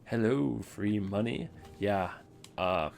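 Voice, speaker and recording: A man's voice: lowish voice, takes it slowly, gravelly, darkish tone. A man hosting a casual ranking video with wry, offhand commentary. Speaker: video host.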